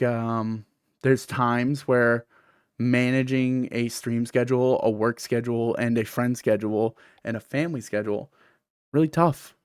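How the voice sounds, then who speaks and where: A man's voice: mid-range voice, smooth, dry recording, clean studio sound. A man with a lisp who says th as f, hosting a casual solo podcast in a candid, self-deprecating, rambling way. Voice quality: th pronounced as f. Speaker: podcast host and streamer.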